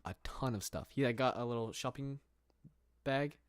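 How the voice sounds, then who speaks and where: Young guy from england just chatting on stream, lots of likes and restarts, mid-pitch voice, dry sound. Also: moderate pace, smooth, no room sound. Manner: rambling, many fillers and restarts, reads viewer questions aloud. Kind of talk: casual livestream chat. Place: room.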